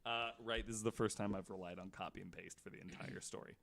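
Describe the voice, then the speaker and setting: A man's voice: low-pitched, fairly even intonation, quick pace, vocal fry, a bit bright. An American man co-hosting a podcast, telling a story from notes with casual banter. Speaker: podcast co-host.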